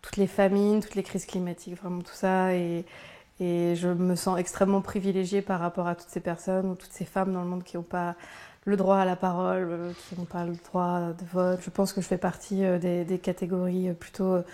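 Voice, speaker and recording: A woman's voice: fairly low pitch, flat delivery, normal speed, mellow. A woman giving an interview answer in a hesitant, reflective way.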